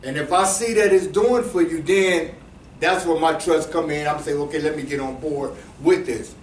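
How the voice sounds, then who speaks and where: A man's voice: on the high side, normal speed. Slangy man talking in an echoey room. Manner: loose and conversational, with slang, repeated restarts and asides to the audience. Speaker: presenter explaining cryptocurrency to a small audience. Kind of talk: casual talk to a live group. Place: room with a small seated audience. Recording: echoey room voice from a distance, faint background hum.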